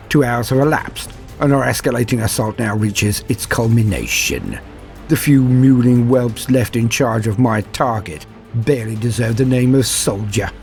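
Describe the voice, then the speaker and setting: A man voicing an ancient, proud and cruel warrior who narrates battle in a grandiose, measured, menacing way. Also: on the low side, gravelly. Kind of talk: dramatic first-person war storytelling.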